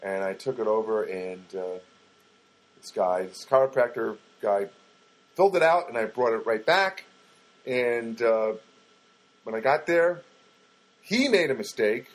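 A man's voice: mid-range voice, animated, measured pace, pauses often, rough recording. A middle-aged man casually telling a rambling, wry personal story and acting out what people said. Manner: rambling, wry, acts out quoted lines.

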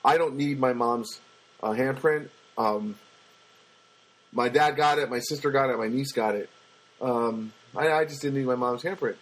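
A man with a booming voice telling a personal story in a casual monologue, halting often with fillers and restarts. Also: poor audio. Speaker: storyteller recounting a personal loss.

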